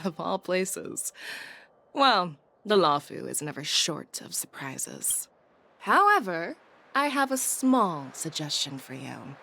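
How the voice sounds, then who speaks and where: A woman's voice: mid-range voice, a bit bright, a little hiss or hum. A teasing, self-assured woman general. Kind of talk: video game voice acting. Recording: game cutscene with music behind.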